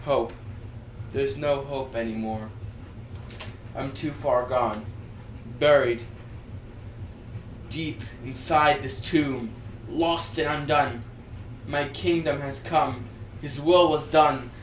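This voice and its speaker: A woman performing a dramatic reading as a tormented, despairing figure possessed by demons, swinging between hushed and loud pleading. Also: low-pitched, takes it slowly, long pauses, slight background noise. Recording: roomy echo, music underneath.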